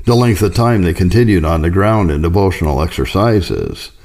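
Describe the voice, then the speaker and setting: A man reading a historical religious letter aloud in a formal, solemn, measured manner, as an audiobook narrator. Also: deep voice, lively intonation, a little mellow. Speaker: audiobook reader.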